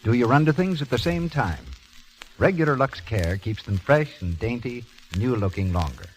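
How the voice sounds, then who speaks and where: A male announcer delivering polished, scripted introductions and sponsor pitches in 1930s radio style, on an old broadcast recording. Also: expressive, normal speed, poor audio.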